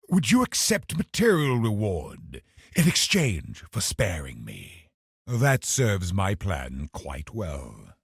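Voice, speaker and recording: Deep, husky, scheming zealot villain. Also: male voice, no room sound, studio quality, exaggerated voice. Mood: calculating. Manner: measured, haughty, calculating, formal. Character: scheming, pious, cold male religious officer villain. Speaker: game boss character. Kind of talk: dramatic video game voice acting.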